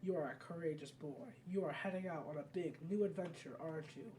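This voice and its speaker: A solemn woman guardian from a fantasy video game, voiced by a player reading aloud. The delivery is measured and instructive, with a dark, mellow tone. There is slight noise in the home recording. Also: mid-range voice, recorded from a distance, lightly acted, low-quality recording.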